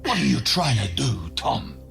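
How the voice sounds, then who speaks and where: Gravelly, commanding Australian father. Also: medium pitch, very animated, a little slow, fairly bright tone, faint music behind, full character voice. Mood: stern, angry. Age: middle-aged. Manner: blunt, scolding. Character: gruff, commanding father of grown sons. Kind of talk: naturalistic audio drama acting.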